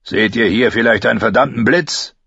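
Man playing a gruff, blunt old watchman, husky. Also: mid-range voice, moderate pace, a bit bright, no room sound, highs cut off, pitched above the performer's own voice. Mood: defiant. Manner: blunt, defiant. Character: gruff, stubborn older watchman leading young recruits.

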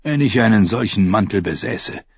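A man voicing a scornful, defiant old knight in a slow, husky, mocking tone. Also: lowish voice, expressive, no echo, highs cut off, exaggerated voice. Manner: contemptuous, mocking.

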